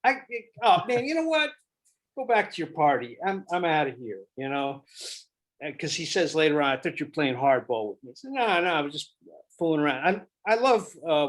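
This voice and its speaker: A man giving casual directing notes to actors, thinking aloud and often repeating himself in a halting way. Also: a little high. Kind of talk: casual spoken feedback.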